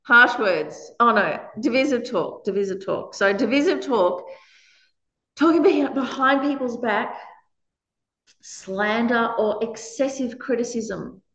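Loud, laughing woman teacher. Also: on the high side, slow. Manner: frank, laughs often between points. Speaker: Buddhist teacher. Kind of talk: dharma talk answering questions. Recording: roomy, slightly dull recording.

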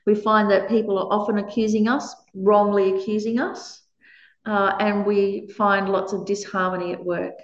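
A loud-voiced woman teaching Buddhist ethics, frank and quick to laugh between her points. She talks to a gathered audience in a roomy space, and the recording sounds a little dull and muffled. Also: mid-range voice, level tone. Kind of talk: dharma talk answering questions.

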